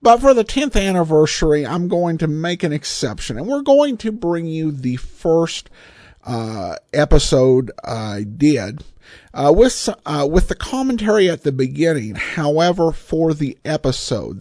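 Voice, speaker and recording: Male solo podcaster, lively intonation, conversational and a little halting, recorded dry. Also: fairly high pitch, dry recording. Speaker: podcast host.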